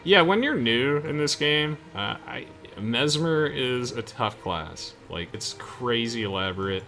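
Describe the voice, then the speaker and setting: A man's voice: mid-range voice, lively intonation, a little slow, pushed and bright, soft background music. A young man giving casual video game commentary to friends as the host of a playthrough. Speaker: let's play host. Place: game voice chat with music behind.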